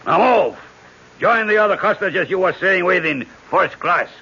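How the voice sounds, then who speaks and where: An actor playing a menacing Latin American hijacker in an old radio drama, commanding and deliberate. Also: male voice, sits high, expressive, sharp tone, breathy edge, full character voice. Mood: cold, threatening. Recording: muffled, hissy old radio broadcast.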